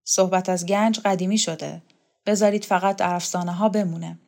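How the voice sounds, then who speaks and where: A weary old male rebel leader in a literary audiobook, acted by a woman narrator. He speaks gravely and cynically, a bitter sceptic who has little faith in others. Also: on the low side, steady tone, darkish tone. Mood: dismissive.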